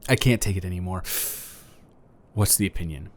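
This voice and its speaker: A man's voice: low-pitched, a little fast, close to an everyday voice. A man improvising a romantic audio roleplay as a flirty, playfully boastful neighbor, talking straight to the listener in a teasing, stammering, rambling way.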